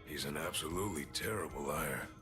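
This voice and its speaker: A man voicing a big, quiet, gentle high school boy musing to himself in an English anime game dub. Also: fairly quick, music in the background. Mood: pensive.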